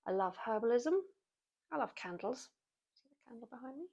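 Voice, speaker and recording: Brief: British woman, 40s, rambling self-mocking vlog chat, fairly high voice. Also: animated, fairly quick, vocal fry, darkish tone, lo-fi sound. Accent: British English. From Britain. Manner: chatty, self-mocking, wry asides. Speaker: vlogger. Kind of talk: casual chatty monologue to camera.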